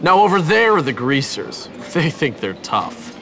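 A man's voice: fairly high pitch, very animated, unhurried, pushed and bright, exaggerated voice. A mocking, manic teenage boy schemes through a game cutscene with music behind him. His swoops are extreme, his friendliness is theatrical and dripping with sarcasm. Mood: sarcastic, mocking. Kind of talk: video game cutscene acting.

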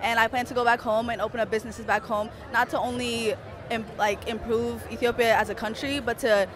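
A young woman student answers eagerly and quickly. A loud crowd fills the air around her. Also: fairly high pitch, level tone. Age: young adult. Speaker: student interviewee. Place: outdoor festival grounds at night.

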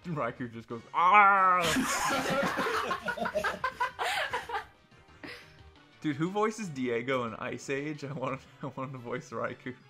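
A man's voice: mid-range voice, very animated, takes it slowly, long pauses. A young man bantering playfully and jokily during a casual group gameplay session. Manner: jokey, playful. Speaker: online gaming video player. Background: video game music.

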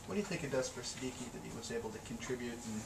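A male sports reporter puts questions at a press conference. He talks quickly at a medium pitch. Loud noise surrounds the distant voice. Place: indoor press conference room. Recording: very noisy, echoey, distant pickup.

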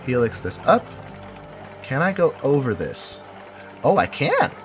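A man with a head cold talks through his building with a stuffy, nasal voice, his pitch rising and falling a lot. He thinks aloud, chuckles and corrects himself as he goes, with clear game music running under him. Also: wildly swooping pitch, measured pace. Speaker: game video creator. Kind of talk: casual gameplay commentary.

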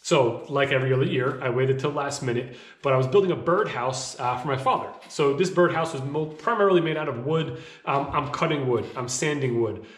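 A man in his thirties talking casually to camera from a small home office, rambling a bit with fillers, his voice slightly hoarse from a cough. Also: mid-range voice, a little fast. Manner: conversational, rambling with fillers and restarts. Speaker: vlogger. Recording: echoey room.

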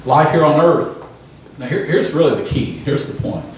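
A man in his sixties preaching a sermon into a handheld microphone in a small church hall, pausing for the congregation's amens. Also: on the high side, a little hiss or hum. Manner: emphatic preaching with call-and-response amens. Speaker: pastor. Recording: echoey room from a distant camera.

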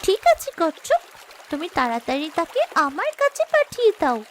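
A woman's voice: very high voice, wildly swooping pitch, moderate pace, a little hiss or hum, full character voice. A woman voicing a brave human child in a fairy-tale cartoon, speaking in an earnest, urgent way.

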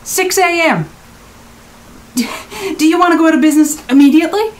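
An actress playing a blunt, exasperated rental guest in an audio drama, with emphatic, clipped phrases. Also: female voice, very high-pitched, fairly quick. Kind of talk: naturalistic audio drama acting.

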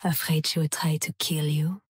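A woman's voice: low-pitched, little pitch movement, normal speed, husky, no room sound, clean studio sound. An actress playing a cold, aloof female sniper assassin with a French accent and a clipped, disdainful manner. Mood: menacing.

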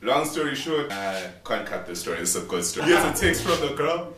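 Animated young man in a roomy space. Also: mid-range voice, brisk. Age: 20s. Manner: slangy, jokey, repetitive, theatrical. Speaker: vlogger. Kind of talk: animated casual storytelling vlog. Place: small indoor room at home. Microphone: camera mic a few steps away. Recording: roomy, distant mic under music. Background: music.

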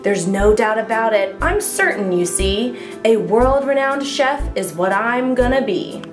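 A woman voicing an excitable, boastful young schoolboy in a storybook, theatrical and eager, declaiming in rhyme. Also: a little high, measured pace. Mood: grand, proud. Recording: echoey room with music underneath.

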